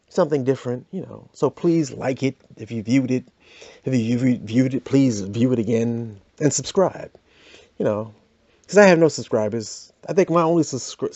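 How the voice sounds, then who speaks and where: A man's voice: mid-range voice, dark tone, no echo, muffled recording. A man hosting a podcast, telling a comic story in a loose, chatty way full of "you know"s. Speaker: podcast host. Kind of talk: comic monologue.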